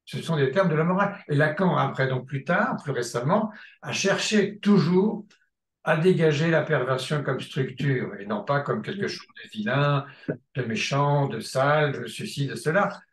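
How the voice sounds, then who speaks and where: A man's voice: on the high side, animated, moderate pace. Hesitant male lecturer in an echoey room. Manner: hesitant, many restarts and filler sounds, emphatic repetition. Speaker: seminar lecturer in psychoanalysis. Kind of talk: spontaneous seminar teaching.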